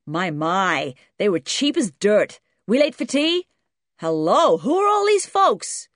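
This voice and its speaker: A woman's voice: mid-range voice, big pitch swings, slow pace, no echo, clean studio sound, pitched above the performer's own voice. Woman doing a brash, hearty American dad. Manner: hearty, talkative, crass. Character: brash, boastful, burly American father, a tourist.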